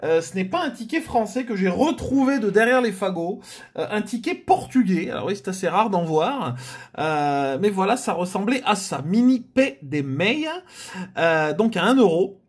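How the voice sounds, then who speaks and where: Guy hosting, kinda high voice, laid back, says euh a lot. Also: moderate pace, slightly rough. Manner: relaxed, hesitant, many "euh" fillers. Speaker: host of a scratch-card channel. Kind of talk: casual chat to camera.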